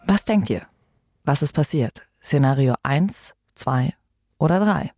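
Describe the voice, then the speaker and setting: A woman's voice: sits low, expressive, a little slow, over music. A woman hosting a true-crime podcast in a conversational way, putting questions to the listeners. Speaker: podcast host.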